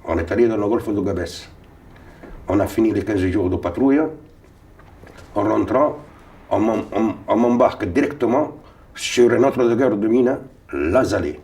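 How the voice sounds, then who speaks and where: Older man with a Sicilian mother tongue, low voice. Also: moderate pace, pauses often, darkish tone. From Tunisia. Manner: rambling, repeats and restarts words. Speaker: interviewee. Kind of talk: recounting memories. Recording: voice a little far from mic.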